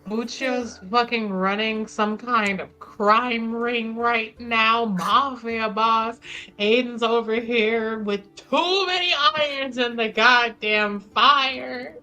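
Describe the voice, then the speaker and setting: A woman's voice: a little high, takes it slowly, sharp tone, faint music behind, low-quality recording. A woman player narrating her roleplaying character casually, in an informal, rambling, sweary way. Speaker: player in a tabletop roleplaying game.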